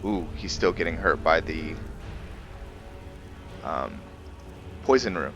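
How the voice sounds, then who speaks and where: A man's voice: very deep voice, expressive, takes it slowly, a bit bright, faint music behind. A man giving casual commentary on a roleplay video game, thinking aloud to himself as he plays. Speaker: gamer hosting a roleplay playthrough.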